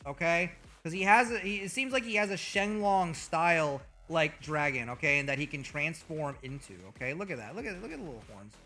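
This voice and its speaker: Bright-voiced, trash-talking male streamer. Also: high-pitched. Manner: hyperbolic, profane, trash-talking. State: slightly hoarse. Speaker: VTuber streamer. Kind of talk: comedic livestream banter.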